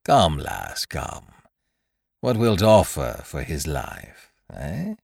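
A man's voice: very deep voice, wildly swooping pitch, drawn-out words, gravelly, a little mellow, breathy edge, no echo, studio quality, full character voice. A man voicing a brutal, drunken, leering pirate captain with archaic sailor speech in an adventure audiobook. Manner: roaring, maudlin jocularity, archaic sailor speech.

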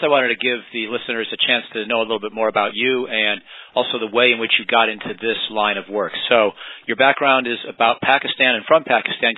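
A man's voice: mid-range voice, no room sound. A man interviewing a guest on a podcast, courteous and complimentary, asking long, careful questions. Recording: dry, phone-like line.

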